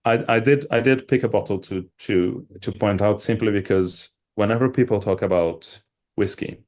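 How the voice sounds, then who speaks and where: A man's voice: lowish voice, normal speed, darkish tone. A man from Brazil answering interview questions over a video call, explaining at length in a thoughtful way with many fillers and restarts. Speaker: wine and whisky shop owner, guest.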